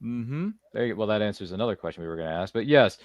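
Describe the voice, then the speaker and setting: A man's voice: lowish voice, animated, fast, no echo. A man hosting a casual livestream chat in a jokey, chummy, rambling way. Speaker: podcast host.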